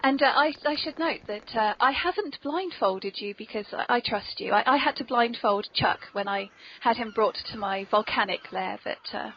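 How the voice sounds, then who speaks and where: A woman's voice: on the high side, moderate pace, few pauses, a little hiss or hum. An English woman hosting a whimsical podcast in a playful, polite manner, on a dull amateur recording. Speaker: podcast host.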